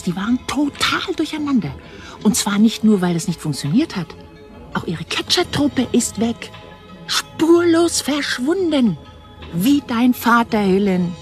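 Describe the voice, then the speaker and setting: An actress playing a keen, gossipy newsroom journalist in a radio drama, confiding and colloquial. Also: female voice, mid-range voice, darkish tone.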